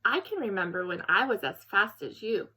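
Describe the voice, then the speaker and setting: A woman voicing a wise, patient old grandfather bear in a children's storybook, gentle and reassuring. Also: medium pitch, sing-song, breathy edge, exaggerated voice. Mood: fond, reminiscing. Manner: gentle, unhurried, proudly reassuring. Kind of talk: children's storybook read-aloud.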